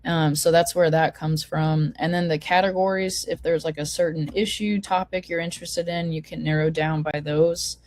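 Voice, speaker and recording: A woman with a hoarse voice from a cold, hosting an online meeting and walking attendees through a website in a casual, filler-heavy way. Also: sits low, steady tone, normal speed, hardly any pauses, darkish tone. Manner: informal, lots of fillers and restarts. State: hoarse, getting over a cold. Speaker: forum host. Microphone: video call.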